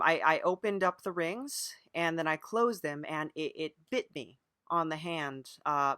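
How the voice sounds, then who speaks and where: A man hosting a mock-serious improvised comedy interview, hesitant and deadpan as he earnestly plays along. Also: a little high, dry recording, clean studio sound. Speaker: podcast interviewer.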